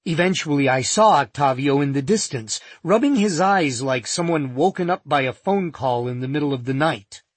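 A man narrating a first-person nonfiction audiobook in a measured, vivid storytelling manner. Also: on the high side, lively intonation, no echo.

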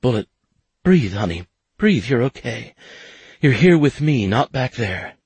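A man's voice: mid-range voice, sing-song, measured pace, slightly rough, dry recording, pitched above the performer's own voice, heavily acted. Finley: a man voicing a tearful, pleading young woman. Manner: soft, pleading and consoling.

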